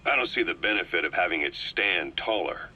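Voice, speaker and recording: A man's voice: deep voice, lively intonation, brisk, sharp tone, slightly rough, lo-fi sound, heavily acted. An actor playing a terse, probing male soldier who questions a prisoner in a video game. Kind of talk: video game cutscene acting.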